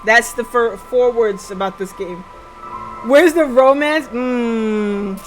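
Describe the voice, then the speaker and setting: A woman's voice: fairly high pitch, takes it slowly, poor audio. A woman hosting a casual game livestream, chatting with viewers and thinking aloud in hesitant fragments. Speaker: livestream host. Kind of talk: casual live game-stream chat with viewers. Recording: background music under the voice. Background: music.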